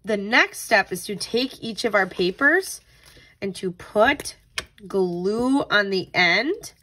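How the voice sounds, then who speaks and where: A woman's voice: medium pitch, lively intonation, takes it slowly, bright, forceful tone. A woman tutor reading aloud and giving simple step-by-step instruction for young children. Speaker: tutor reading a picture book and leading a craft.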